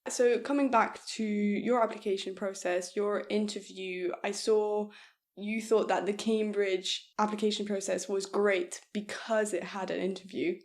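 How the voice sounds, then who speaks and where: A woman hosting a casual video call interview, asking short, relaxed questions and backing the guest up. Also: mid-range voice, unhurried. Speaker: interviewer, host.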